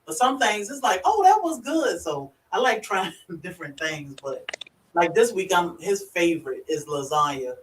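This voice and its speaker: A woman with a rural Virginia accent hosting a casual livestream, chatty and quick to laugh as she greets viewers by name. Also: fairly low pitch, sing-song, a little hiss or hum. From Virginia, USA. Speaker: livestream host. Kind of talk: casual live chat. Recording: echoey room, far from the mic.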